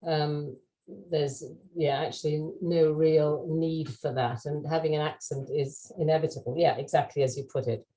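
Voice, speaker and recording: British woman presenter, low mellow voice, calm and measured, frequent ums. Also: low-quality recording. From United Kingdom. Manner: measured, many "um"s, conversational. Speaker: webinar speaker, language expert. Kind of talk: answering audience questions.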